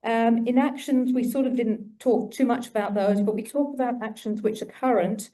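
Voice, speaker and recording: Woman, mellow soft-edged tone, halting delivery, informal conference report-back. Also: fairly high pitch, fairly even intonation, rough recording. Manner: halting, hesitant, searching for words. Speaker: conference participant reporting back from a discussion group. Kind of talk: informal spoken summary to a plenary. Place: conference hall, live talk.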